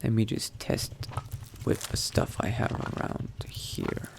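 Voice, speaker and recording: A man doing in-character game commentary, thinking aloud in a musing, unhurried murmur to himself. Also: heavy background noise. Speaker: gamer narrating a roleplay playthrough.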